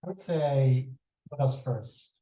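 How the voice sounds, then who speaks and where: Slow, dark-voiced thoughtful man. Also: medium pitch. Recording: roomy echo, distant mic.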